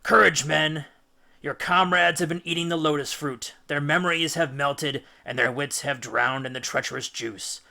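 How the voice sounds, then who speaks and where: A man's voice: fairly high pitch, steady tone, normal speed, gravelly, sharp tone, full character voice. A man voicing a bold ship's captain who rallies and commands his men in a storybook reading. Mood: commanding. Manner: commanding, rallying.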